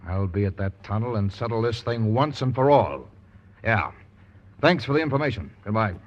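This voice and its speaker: An actor playing a crooked male contractor with a husky, blustering voice, in 1940s radio style. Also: fairly low pitch, lively intonation, normal speed, a little hiss or hum, lightly acted. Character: crooked male contractor, defiant then frightened. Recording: dull old radio recording.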